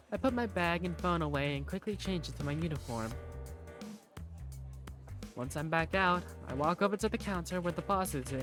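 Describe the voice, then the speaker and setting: A narrator reading scripted first-person story narration for a game fandub. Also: mid-range voice. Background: background music.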